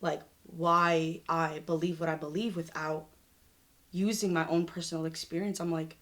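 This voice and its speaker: Woman, low voice, playful, self-mocking, casual podcast co-host. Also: fairly even intonation, normal speed, a bit bright. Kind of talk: casual chat.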